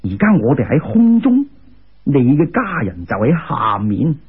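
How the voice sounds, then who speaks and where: A man playing a lofty storybook immortal, deep shifted-down voice, husky, soothing, unhurried, lo-fi radio sound. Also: huge pitch swings, heavily acted. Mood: solemn. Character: calm, amused, commanding male immortal guide.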